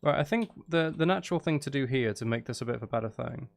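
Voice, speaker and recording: A man's voice: mid-range voice, expressive, fast talker, creaky, loud music behind the voice. A man, talking casually on a livestream, rambling and thinking aloud as he goes. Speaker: live streamer. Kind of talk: casual livestream chat while making music.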